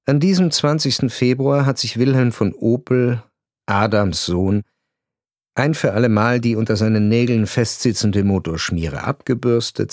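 A man narrating a literary radio drama in a measured, ironic storytelling manner with deliberate pauses. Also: on the low side, a little mellow, dry recording, studio quality.

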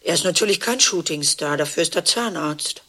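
A woman's voice: low voice, expressive, a little fast, pushed and bright, exaggerated voice. An actress in a radio play, playing a chic, ironic woman married to a dentist, speaking in a wry, drawling way. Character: chic ironic wife of a dentist, half-sister. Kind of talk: radio play monologue and dialogue.

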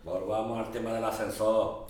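A man with an accent from Spain playing a brisk, officious residents' president in a radio drama. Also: fairly low pitch, little pitch movement, darkish tone, slight background noise, close to an everyday voice. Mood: businesslike. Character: businesslike male residents' president, impatient to stay on topic. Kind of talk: acted community radio drama.

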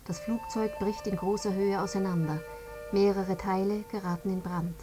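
An actress playing a precise, clinical reporter reading an air crash investigation in a radio drama. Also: female voice, medium pitch, fairly even intonation, normal speed, music in the background, natural voice. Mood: clinical, detached.

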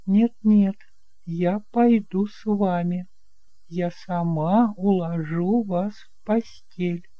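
Мужчина озвучивает заботливую, вежливую экономку в аудиоспектакле. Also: male voice, sits high, slow pace, warm tone, no room sound, pitched above the performer's own voice, low-quality recording. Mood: caring. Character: caring, respectful housekeeper woman, fussing and polite. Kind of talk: audiobook drama acting.

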